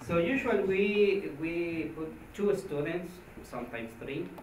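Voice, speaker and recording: Halting man. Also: fairly high pitch, animated. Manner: halting, hesitant English. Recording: echoey room, distant mic, faint noise.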